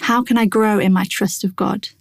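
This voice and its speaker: A woman's voice: medium pitch, steady tone, moderate pace, vocal fry, dry recording. Woman reading a devotional, close dry sound. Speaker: devotional reader. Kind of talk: scripted devotional narration.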